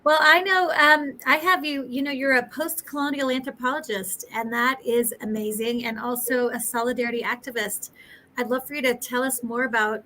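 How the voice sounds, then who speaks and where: A woman's voice: sits high, steady tone, moderate pace, pushed and bright, a little hiss or hum, poor audio. A woman hosting an online talk, asking questions in an encouraging, upbeat way. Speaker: host.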